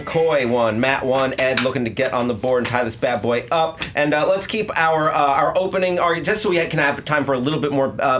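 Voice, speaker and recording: A man hosting a debate game show in a casual, halting way. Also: fairly high pitch, a bit bright, slight background noise.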